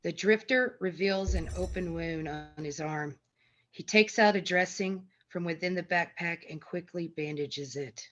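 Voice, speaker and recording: A woman's voice: lowish voice, unhurried, muffled recording. A woman reading screenplay stage directions as scripted narration, on a noisy amateur online-call recording.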